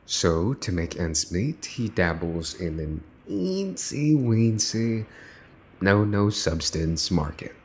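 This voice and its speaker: A man's voice: sits low, sing-song, slow, slight background noise, full character voice. A man playing a mafia boss's son in an ASMR roleplay, smug, teasing and menacing, with a mocking theatrical drawl. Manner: mocking, theatrical drawl with mock-cute wording. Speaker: voice actor.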